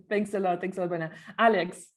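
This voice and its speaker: A woman's voice: on the low side, fairly even intonation, fairly quick. A woman hosting a podcast, introducing and moderating in a presenter's scripted style. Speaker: podcast host. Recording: music behind the voice.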